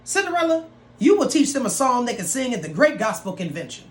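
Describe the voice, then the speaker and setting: A woman's voice: on the high side, big pitch swings, a little fast. Lady reader doing evil storybook foster mom, snippy n commanding, folksy talk, roomy echo. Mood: bossy. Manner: scornful, colloquial, commanding. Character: mean, evil, bossy Southern foster mother. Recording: roomy echo with some background noise.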